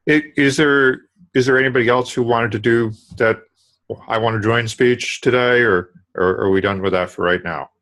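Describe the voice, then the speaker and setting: A man's voice: mid-range voice, steady tone, moderate pace, a bit bright. A man running an online club meeting over a video call, often repeating words as he goes. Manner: stammering repeats. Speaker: meeting officer.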